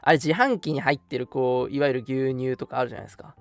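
A man's voice: mid-range voice, fast, no echo, soft background music. A young man hosting a casual radio chat, talking to his co-host and listeners in a conversational, explanatory way. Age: young adult.

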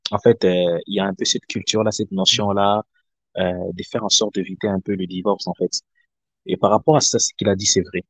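Low husky-voiced man from africa, hesitant and polite, lots of pauses, dry sound. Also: fairly even intonation, no room sound. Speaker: guest caller. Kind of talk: casual live discussion.

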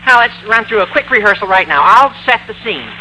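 An actor playing an eager, bossy teenage schoolboy in an old-time radio comedy, with a brash, squeaky adolescent delivery. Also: male voice, lively intonation, fairly quick, sharp tone, exaggerated voice, poor audio. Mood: bossy, directing. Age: teenage character. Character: eager, bossy high-school boy, excitable schemer. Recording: vintage radio recording with faint noise.

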